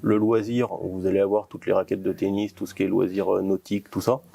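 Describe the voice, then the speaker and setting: A man explaining his company's work in an informal interview, in a halting way with repeated false starts. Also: low voice, little pitch movement, creaky, dark tone. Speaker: company co-founder being interviewed.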